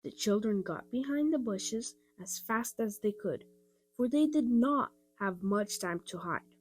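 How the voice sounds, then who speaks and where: A woman narrating a children's audiobook in an expressive storytelling style. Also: on the high side, measured pace, no echo. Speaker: storybook reader.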